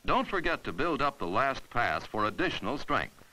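A man narrating an old instructional film in a bright, forceful voice that rises and falls with lively pitch. He explains each step patiently and clearly, like a 1950s classroom reel. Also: normal speed, rough recording. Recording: 1950s educational film soundtrack.

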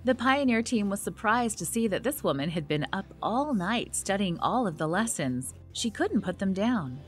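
A woman narrates a documentary in a measured, expressive read. Her pitch moves a lot, and faint music plays under her.

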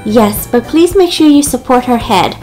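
A woman voicing a gentle, affectionate mother in a toy doll story, soothing and cooing, with music behind her. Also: a little high, expressive, moderate pace, close to an everyday voice. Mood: reassuring.

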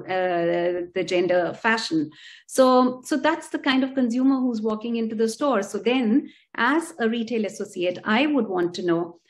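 A woman speaks from home over a laptop call. She thinks aloud in a reflective way, with plenty of ums and uhs. Her voice sits in an ordinary middle range. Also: mid-range voice. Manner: reflective, speaks in first person as a learner, many fillers. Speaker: panelist. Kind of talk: online panel discussion. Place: home room, video call. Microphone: laptop video call.

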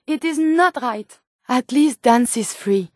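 A woman's voice: high voice, animated, slow, no echo, clean studio sound. A woman voicing an eager, idealistic robot scientist in a video game, speaking precise, formal lines with a French accent. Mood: troubled, moral concern. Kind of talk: scripted video game companion dialogue.

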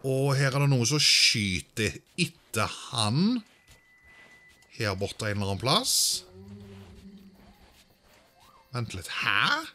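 A man's voice: mid-range voice, sing-song, long pauses, bright, forceful tone. A man doing casual let's-play commentary, thinking aloud and sprinkling in English exclamations. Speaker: gaming video host.

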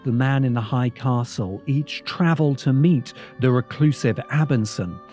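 Man, video essay narrator, scripted delivery, relaxed mellow tone, soft music bed. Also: medium pitch.

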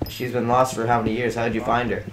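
A man's voice: medium pitch, completely flat delivery, fast, crowd noise, poor audio. A young man with a Boston accent chatting casually and joking with friends while hosting a gameplay video. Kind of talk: casual gaming chat with friends.

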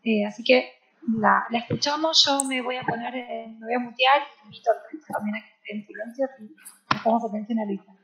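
A woman's voice: on the high side, a little fast, a bit bright. A woman moderating an online talk, introducing the guest speaker in an informal, hesitant way. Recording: dull echoey video call audio.

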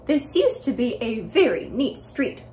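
A fussy, disapproving picture-book neighbor, acted out by a woman storyteller. The voice swoops high as it exclaims and scolds, with drawn-out words and faint noise in the home recording. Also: very animated, a little mellow. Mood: shocked.

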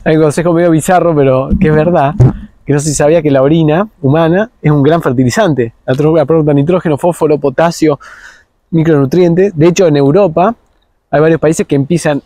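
Quick-talking young Argentine man, mellow. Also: a little high, no echo. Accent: Rioplatense Argentine Spanish. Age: young adult. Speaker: interviewer. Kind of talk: casual chat. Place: outdoors in a garden.

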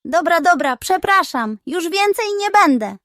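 A woman's voice: very high-pitched, big pitch swings, unhurried, a bit bright, no room sound, studio quality, pitched above the performer's own voice, heavily acted. A woman voicing a sly, scheming little pug dog in a children's animal tale. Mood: nervous. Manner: squeals and mumbles when cornered.

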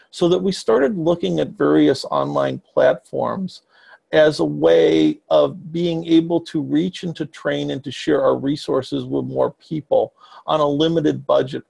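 A man in his fifties, a child psychiatrist and ministry leader, answering podcast interview questions over a webcam call from a home office, in measured, explanatory, long flowing sentences. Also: fairly high pitch, vocal fry, a little mellow, breathy edge. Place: home office with bookshelves, indoors. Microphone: webcam video call.

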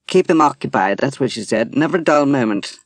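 A man voicing a young woman who is drunk, bitter and despairing, with a rising, shrill, emotional delivery. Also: expressive, fairly quick, no room sound, lightly acted. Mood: hysterical.

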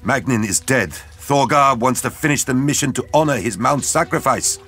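Proud, forceful space marine warrior. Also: male voice, mid-range voice, normal speed, slightly rough, faint music behind. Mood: scornful, heated. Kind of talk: audio drama acting.

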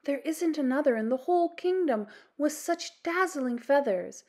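A woman's voice: high-pitched, animated, moderate pace, darkish tone, voice pushed up. A woman voicing a spoiled, demanding young princess in a children's storybook, imperious and exclamatory. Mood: possessive. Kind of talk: children's storybook read-aloud.

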